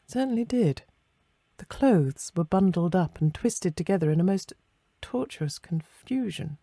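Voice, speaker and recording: A woman reading a ghost story aloud as dramatic, measured literary narration. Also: on the low side, expressive, mellow, no room sound, studio quality. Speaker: story reader.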